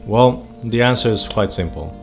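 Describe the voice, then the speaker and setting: A man's voice: lowish voice, normal speed, vocal fry, soft background music. A man in his thirties with a Finnish accent, a native Finnish speaker, talking earnestly and enthusiastically as host of his own vlog. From Finland. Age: 30s. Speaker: channel host. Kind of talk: personal vlog monologue.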